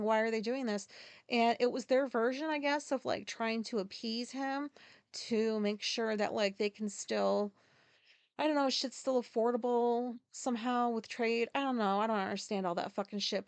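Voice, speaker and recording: A woman's voice: on the high side, no echo. A middle-aged woman raised in Japan, hosting a solo podcast and venting in a profane, rambling way full of fillers and asides. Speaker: podcast host.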